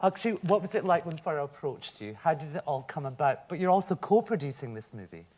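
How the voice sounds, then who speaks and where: A woman hosting a live stage interview, excited, gushing and exclamatory. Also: low-pitched, quick pace. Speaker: host. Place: theatre stage before an audience. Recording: loud music and noisy crowd behind.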